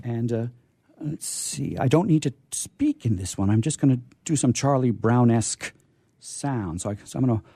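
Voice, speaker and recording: A male radio host with a mellow, mid-pitched voice and very lively intonation. He chats casually, rambling and circling back over his words, in a dry studio sound. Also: moderate pace, gravelly, breathy edge, no echo. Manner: rambling, hesitant, repeating himself. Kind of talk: casual unscripted show chat.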